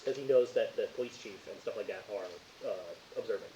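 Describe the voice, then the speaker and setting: A man speaking casually as a game master, narrating haltingly and often searching for words. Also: fairly low pitch, warm tone. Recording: roomy echo, dull lo-fi sound, faint hiss.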